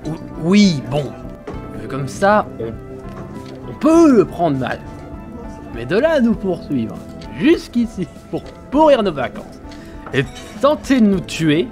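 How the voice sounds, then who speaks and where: A man's voice: high-pitched, wildly swooping pitch, slow pace, long pauses, a little mellow, soft background music, heavily acted. A man playing a tactless, unrepentant holidaymaker who justifies himself hesitantly in a comic audio drama. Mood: sheepish. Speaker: amateur voice actor in an audio series.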